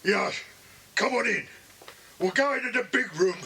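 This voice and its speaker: An actor playing a bossy, menacing crook who leads a gang and barks questions in a children's audiobook drama. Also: male voice, high-pitched, animated, a little slow, crowd noise. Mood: urgent, bossy. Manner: barking, bullying questions. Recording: noisy old cassette transfer with clicks.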